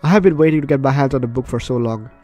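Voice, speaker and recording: Male let's player, medium pitch, reads story game narration aloud with casual playful reactions, faint music underneath. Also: rapid-fire, mellow. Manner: playful, chatty asides. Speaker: gaming YouTuber.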